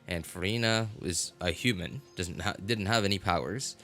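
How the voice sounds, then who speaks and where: A man with a low-pitched voice talks casually as a game livestreamer. He thinks aloud in a hesitant, rambling way, trailing off and rewording his sentences, while music plays clearly behind him. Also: normal speed.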